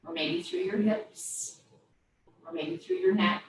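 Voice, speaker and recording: A woman teaching yoga, guiding a body scan with gentle, invitational step-by-step cues. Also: medium pitch, sing-song, takes it slowly, vocal fry. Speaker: yoga instructor. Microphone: distant microphone. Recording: very echoey room, distant mic, faint noise.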